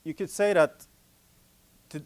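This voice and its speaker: A middle-aged Swedish man, not a native English speaker, giving a conference presentation with a Swedish accent. He speaks haltingly, with many fillers and restarts, and makes light dry jokes. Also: a little high, steady tone. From Sweden. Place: conference hall.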